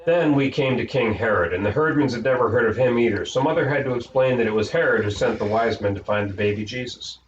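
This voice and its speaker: A man's voice: medium pitch, fast, few pauses. A man reading a storybook aloud in the role of narrator. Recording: echoey room, distant mic, noisy, dull.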